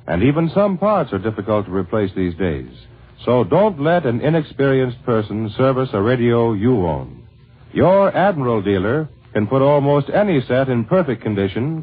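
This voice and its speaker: A man's voice: mid-range voice, breathy edge. An American man announcing a scripted sponsor message in 1940s radio style. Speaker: commercial announcer. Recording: tinny narrow-band 1940s radio recording.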